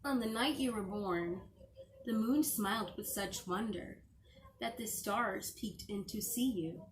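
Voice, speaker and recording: Slow-paced woman reading a children's storybook. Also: medium pitch, a little hiss or hum, low-quality recording. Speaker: teacher reading aloud. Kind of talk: expressive storybook read-aloud for young children.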